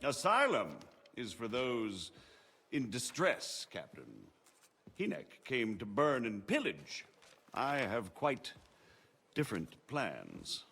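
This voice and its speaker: An actor playing a shrewd, haughty margrave who speaks in formal, measured, eloquent phrases in a video game drama. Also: male voice, mid-range voice, wildly swooping pitch, creaky, pushed and bright, slightly rough, heavily acted. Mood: composed, pointed. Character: shrewd, haughty noble margrave, smooth political schemer.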